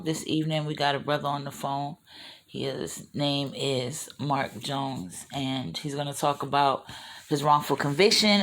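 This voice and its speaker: A woman's voice: rumbling low, gravelly, a little hiss or hum. A woman hosting a radio interview show, speaking in friendly, casual slang.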